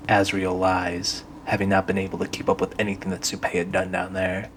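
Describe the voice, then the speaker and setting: A man's voice: low-pitched, monotone, a little fast, fairly bright tone, a little hiss or hum. A man narrating a scripted audio drama.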